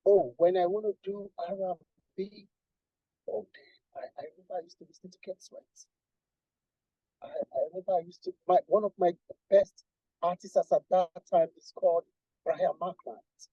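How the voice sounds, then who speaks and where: A man from Nigeria with a husky voice, a guest musician talking at length in a casual interview and restarting his sentences often. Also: sits high, moderate pace, long pauses, dry recording, highs cut off. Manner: rambling, repeats and restarts, lists with emphasis.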